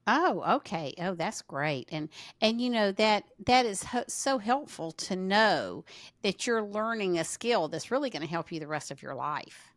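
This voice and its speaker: A woman's voice: mid-range voice, animated, measured pace, dry recording. A woman hosting a podcast, introducing her guest and asking questions in an encouraging, friendly way. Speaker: podcast host. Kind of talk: podcast interview.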